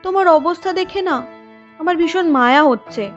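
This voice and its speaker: A woman's voice: extremely high pitch, moderate pace, dull audio, faint music behind. A woman voicing a bold young village woman in a cartoon, who speaks firmly and scolds. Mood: scolding. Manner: firm, scolding. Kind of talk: cartoon voice acting.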